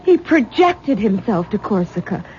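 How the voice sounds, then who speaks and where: A woman playing an anxious, exasperated wife in a 1970s radio drama, halting and repeating words as she insists. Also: mid-range voice, very animated, a little mellow. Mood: earnest, uneasy. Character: anxious, exasperated wife and young mother, adult daughter. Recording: dull, noisy 1970s radio broadcast recording.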